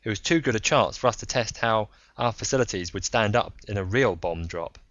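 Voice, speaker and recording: A man voicing a calm, candid male agent in an audiobook story, measured and explanatory. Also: lowish voice, no room sound, dull audio. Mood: apologetic.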